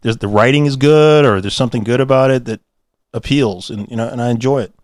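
A man's voice: medium pitch, fast, a little mellow, no room sound. Man, podcast co-host, mid-range voice, meandering, jokey, hesitant, casual banter, dry recording. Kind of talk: casual rambling after-show banter.

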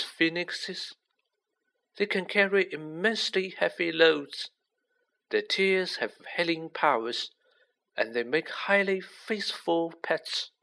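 A man voicing a gentle, wise old wizard headmaster in a storybook, speaking softly, with measured deliberation. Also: a little high, pitched above the performer's own voice, heavily acted, low-quality recording. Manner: measured, soft-spoken, deliberate.

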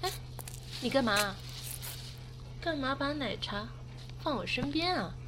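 A woman's voice: sits high, animated, a little slow, noisy background. An actress playing a feisty, teasing young girlfriend in a Mandarin radio drama. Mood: playful, bossy. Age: young adult. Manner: teasing, mock-threatening. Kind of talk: Mandarin radio drama acting with first-person narration. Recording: music behind the voice.

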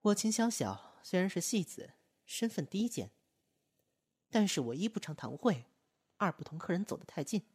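An actress playing a proud, aloof opera performer and strict master in a radio drama, with a cold, curt, haughty way of talking. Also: female voice, fairly low pitch, big pitch swings, normal speed, a little mellow, slightly rough, no echo.

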